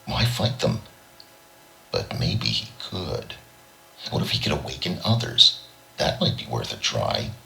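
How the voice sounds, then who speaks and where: A male narrator with an extremely low, gravelly voice reads a short story dramatically. His delivery moves widely in pitch. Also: big pitch swings, unhurried, pushed and bright, a little hiss or hum, rough recording. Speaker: audiobook reader.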